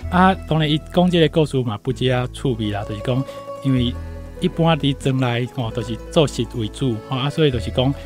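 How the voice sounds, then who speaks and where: A man's voice: mid-range voice, a little fast, soft background music. A man with a Taiwanese accent gives informal commentary, retelling and explaining a story with frequent tag particles at the ends of phrases. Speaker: guest commentator, university professor.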